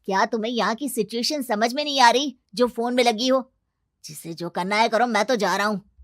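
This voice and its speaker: A woman's voice: on the high side, fairly quick, close to an everyday voice. A woman voicing a jumpy, grumbling young man who is scared of ghosts, exclaiming and complaining in a cartoon story. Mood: panicked, angry. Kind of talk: animated cartoon story acting.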